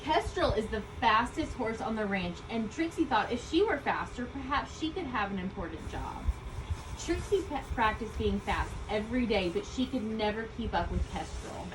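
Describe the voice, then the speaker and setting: A woman reading a children's story aloud, expressively, with deliberate emphasis on key words. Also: a little high, lively intonation. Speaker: storybook reader. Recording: distant mic, loud background ambient noise.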